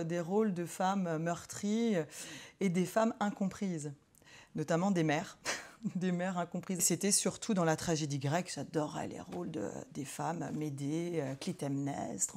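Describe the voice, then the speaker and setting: A woman psychologist in an interview, talking reflectively with many pauses and hesitant fillers. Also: on the low side, darkish tone.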